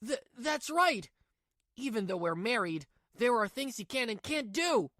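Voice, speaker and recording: A woman's voice: a little high, big pitch swings, measured pace, fairly bright tone, no room sound, clean studio sound. A woman voicing a weak, cheating husband in a manga dub, stammering and pleading. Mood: defensive, pleading.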